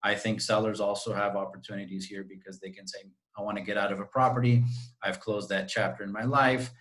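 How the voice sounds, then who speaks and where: A man's voice: lowish voice, level tone, brisk, fairly bright tone. A man from Costa Rica, a real estate agent, talking at length in a friendly way on a video call interview. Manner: friendly, talkative, explains at length. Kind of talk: interview answers.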